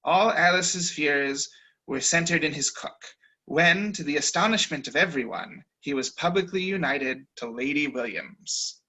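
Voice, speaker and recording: Bright, wry male narrator for a video-call stage reading. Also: fairly high pitch, unhurried, rough recording.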